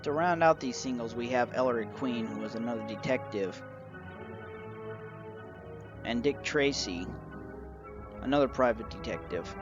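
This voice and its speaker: A man presenting a collection review in a measured, semi-scripted narration, moving through it item by item. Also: medium pitch. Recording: dull, lo-fi audio with music behind.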